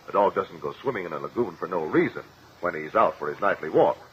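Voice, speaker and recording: An actor playing a shrewd, confident courtroom investigator in a 1950s radio drama, speaking formally and precisely. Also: male voice, low-pitched, lively intonation, fast. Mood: confident, explanatory. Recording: muffled, noisy old radio recording.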